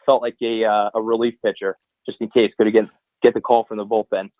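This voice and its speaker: A man's voice: on the low side, level tone, fairly quick, no echo, narrow, tinny audio. A young man, a guest anthem singer, chatting casually on a podcast in long rambling answers full of fillers and restarts.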